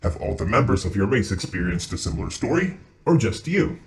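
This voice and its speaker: A man's voice: fairly low pitch, very animated, brisk. A man voicing a newly conscious artificial intelligence, naive, polite and blunt, with formal curious speech in an audio drama. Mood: curious, earnest. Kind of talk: audio roleplay monologue.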